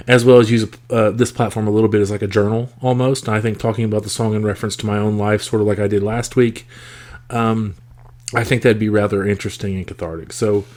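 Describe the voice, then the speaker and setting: A man hosting a podcast, talking casually and thoughtfully with a rough, congested, scratchy voice from a cold. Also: lowish voice, darkish tone. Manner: reflective, thoughtful, with frequent fillers. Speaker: podcast host. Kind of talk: casual solo podcast talk.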